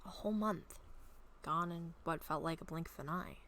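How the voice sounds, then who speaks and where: A woman chatting casually and reading her own story aloud at home, a little raspy from a long talking session, rambling with many soft ums. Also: low voice, moderate pace. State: slightly raspy throat. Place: at home, bedroom.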